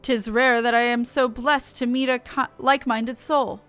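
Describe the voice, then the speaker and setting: Woman putting on a courteous, grandiose gentleman merchant. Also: on the high side, steady tone, moderate pace, smooth, soft background music, lightly acted. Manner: formal, grandiose. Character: genteel, flowery male merchant chairman, courteous and idealistic. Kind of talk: reading game dialogue aloud.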